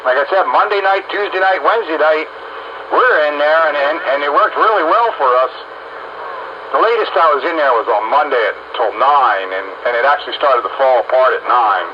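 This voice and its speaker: A man's voice: a little high, lively intonation, fairly quick. A man chatting casually over amateur radio in a folksy, rambling, colloquial way, heard through a radio transmission. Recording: muffled narrow-band radio, clear static noise.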